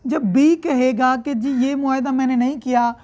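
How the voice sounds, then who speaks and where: Female law lecturer, Pakistani accent, high-pitched voice, didactic explanatory delivery with repeated points. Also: steady tone, normal speed, a little mellow. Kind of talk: explanatory lecture mixing read-out statute with commentary.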